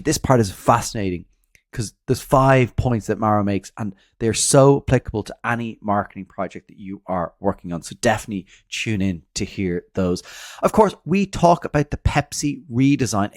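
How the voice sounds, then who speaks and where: A man's voice: on the low side, no echo, clean studio sound. A man hosting a marketing podcast, upbeat and encouraging as he introduces and questions his guest. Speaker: podcast host. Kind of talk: interview podcast.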